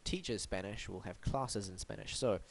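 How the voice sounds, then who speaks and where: Male web review host, lowish voice, congested and sniffly, dry wit and mock-grand asides. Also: normal speed, creaky. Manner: wry, theatrical, mock-formal. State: blocked up and sniffly from hay fever. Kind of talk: scripted web review monologue.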